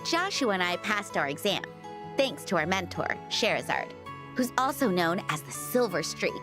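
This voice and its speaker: A woman's voice: medium pitch, big pitch swings, moderate pace, natural voice. Estelle, a woman playing a spirited young heroine. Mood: upbeat. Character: spirited teenage girl heroine, cheerful and determined. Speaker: game character narrating a recap. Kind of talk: scripted game voice acting. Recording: game audio with music underneath. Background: music.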